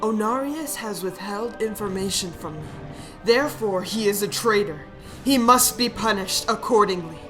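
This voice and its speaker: A woman playing a smug, calculating dragon queen in an animated fantasy, speaking in a theatrical, mock-polite way. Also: medium pitch, normal speed, faint music behind, close to an everyday voice, low-quality recording. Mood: cold, menacing. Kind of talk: animated fantasy drama acting.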